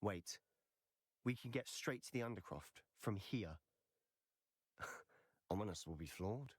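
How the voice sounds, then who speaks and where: A bold schoolboy wizard for a game. The voice moves around a lot, wry and hungry for adventure, recorded close and dry. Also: male voice, fairly low pitch, expressive, slow pace, breathy edge, no room sound, exaggerated voice. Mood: excited. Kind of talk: video game cutscene acting.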